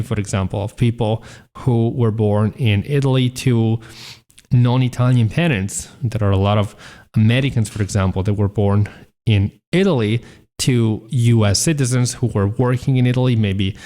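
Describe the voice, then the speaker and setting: A man hosting a talk show, giving measured, explanatory answers as an advisor. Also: fairly low pitch, warm tone. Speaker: citizenship advisor host.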